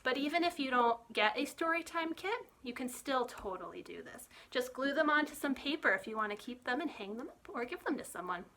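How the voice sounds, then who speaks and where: A woman, a children's librarian, reads a picture book aloud to young children in an expressive storytime manner, putting questions to the listeners. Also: high-pitched, a little fast, few pauses.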